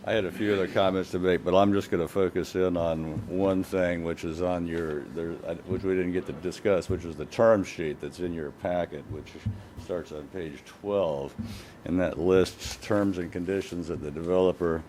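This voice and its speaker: A man with a very deep voice works through a list of commitments for a planning board. He pauses and restarts now and then but keeps moving through the points. A faint hiss sits in the background. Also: steady tone, darkish tone. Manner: listing points, hesitant at first. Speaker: presenter for the applicant. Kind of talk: formal presentation to a board.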